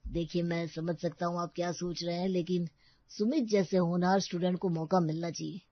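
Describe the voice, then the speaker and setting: A woman's voice: fairly low pitch, steady tone, fairly quick, no room sound, muffled recording, close to an everyday voice. A woman voicing a courteous, supportive male college professor in a cartoon story, formal and reassuring.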